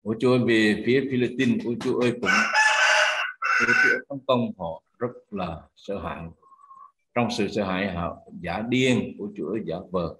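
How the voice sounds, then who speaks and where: A man's voice: fairly low pitch, moderate pace, noisy background. A man, a pastor, leading a spoken prayer with fervent repeated cries to God between phrases.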